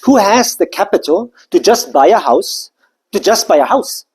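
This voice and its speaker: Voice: mid-range voice, animated, moderate pace, a little mellow, slightly rough. A speaker from Germany, giving a live business presentation in a loose, conversational way with many side remarks. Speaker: company presenter.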